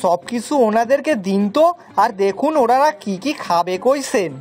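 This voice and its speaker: A woman's voice: fairly high pitch, animated, moderate pace, darkish tone, soft background music, close to an everyday voice. A woman voicing a simple, kind-hearted village man in a children's cartoon, earnest and folksy. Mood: kindly.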